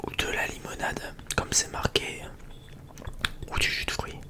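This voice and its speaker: An ASMR host chatting casually in a whisper, rambling along in informal, slangy speech close to the microphone. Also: unhurried.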